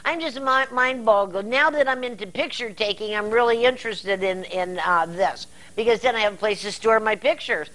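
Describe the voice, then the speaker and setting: An older American woman with a somewhat high-pitched voice hosts a casual talk-show interview. Her delivery is folksy and halting, often doubling back on words. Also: a bit bright, poor audio. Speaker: TV interview host. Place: indoor TV studio set.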